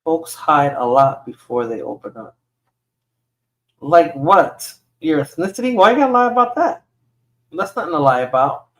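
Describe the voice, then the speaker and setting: A woman's voice: deep voice, expressive, normal speed. A woman with a New York accent, Spanish as her first language, hosting a casual livestream and rambling through replies to viewer comments. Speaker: livestream host.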